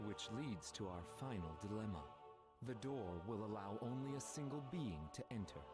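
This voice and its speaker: An actor voicing a solemn, mysterious guardian of time in a video game, speaking formally and portentously. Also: male voice, fairly low pitch, animated, moderate pace, close to an everyday voice. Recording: loud game music over the voice.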